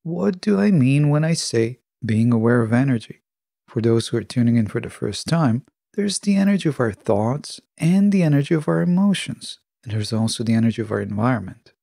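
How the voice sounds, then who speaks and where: A man hosting a solo podcast, reading a scripted monologue in a measured, instructive way. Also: medium pitch, lively intonation, gravelly, dark tone, no room sound, clean studio sound. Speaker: podcast host.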